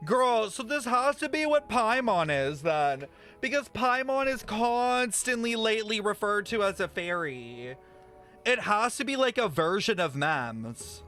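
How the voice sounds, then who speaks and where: A man's voice: high-pitched, expressive, unhurried, sharp tone, faint music behind. A man streaming his reaction to a video game, chatty and playful, often exclaiming. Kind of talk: casual reaction commentary.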